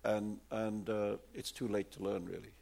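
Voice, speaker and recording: Gravelly, husky older man. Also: lowish voice, flat delivery, normal speed, a little mellow. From India. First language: Urdu. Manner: rambling with many fillers and repeats. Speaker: author answering audience questions. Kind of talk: live audience Q&A.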